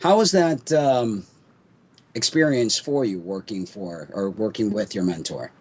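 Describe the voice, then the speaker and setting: A man's voice: lowish voice, expressive, a little slow, a little mellow, husky. A male interviewer asking a surgeon questions in a supportive way.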